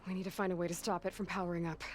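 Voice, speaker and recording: A woman's voice: fairly low pitch, level tone, very fast, a little hiss or hum, lightly acted. A woman playing a determined, wry young warrior heroine in a video game, speaking in an earnest, purposeful way. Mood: focused urgency. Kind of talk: naturalistic video game dialogue acting.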